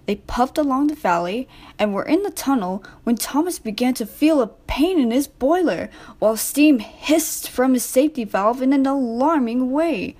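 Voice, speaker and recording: A woman's voice: sits high, animated, moderate pace, darkish tone. A woman reading a scripted children's story as narrator.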